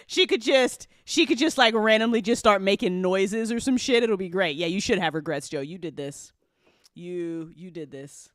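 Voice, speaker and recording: A woman hosting a live-stream listen party, chatting casually and excitably with her audience, giggly and quick to swear. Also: mid-range voice, no echo, clean studio sound. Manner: hype-building, talks directly to chat. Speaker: host of a podcast listen party.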